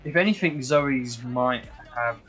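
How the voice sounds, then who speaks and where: A young man streaming a game and chatting casually. He is excitable, swears freely and often restarts his sentences. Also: a little slow, soft background music. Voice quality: fairly high-pitched voice. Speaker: gaming streamer. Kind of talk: casual chat while gaming.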